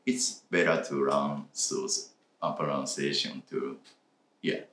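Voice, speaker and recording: A man whose first language is Japanese, speaking English with a Japanese accent as co-host of a casual chat, halting and searching for words. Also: extremely low pitch, fairly even intonation, fairly bright tone, reverberant, slight background noise. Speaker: co-host of a reaction channel.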